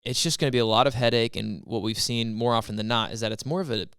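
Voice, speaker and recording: A man co-hosting a podcast, easygoing and agreeable in relaxed chat. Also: medium pitch, quick pace, clear, resonant tone, no room sound, studio quality. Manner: easygoing, agreeable, frequent "yeah" and "like". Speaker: podcast co-host. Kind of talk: casual chat.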